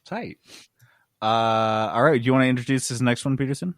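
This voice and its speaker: A man's voice: medium pitch, a little fast, no echo, clean studio sound. A man co-hosting a podcast, chatting casually in slangy language. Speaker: podcast co-host. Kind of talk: casual chat.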